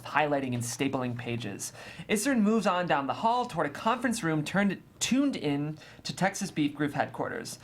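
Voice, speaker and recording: A narrator reading screenplay stage directions in a matter-of-fact, descriptive way. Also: mid-range voice.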